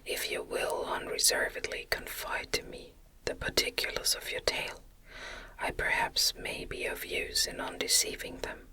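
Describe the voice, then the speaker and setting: Casting: woman reader as kindly elderly blind man, audiobook, all in whisper, gentle, formal, measured. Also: lightly acted, poor audio. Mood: kind, reassuring. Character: kindly old blind man, poor exile, compassionate. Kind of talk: whispered ASMR audiobook reading.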